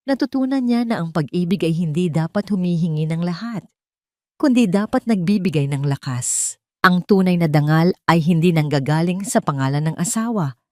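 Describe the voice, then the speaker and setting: A woman's voice: lowish voice, lively intonation, normal speed, a little mellow, dry recording, studio quality. A woman reading a scripted dramatic story as its narrator.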